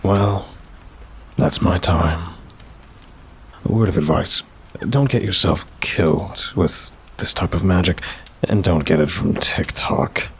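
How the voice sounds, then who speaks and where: A man playing a weary, sardonic demon in an ASMR roleplay, with a dry, haughty delivery and drawn-out sighs. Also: low voice, gravelly, a little hiss or hum, exaggerated voice. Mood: matter-of-fact, wry. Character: weary, sardonic male demon, aloof bureaucrat of damnation.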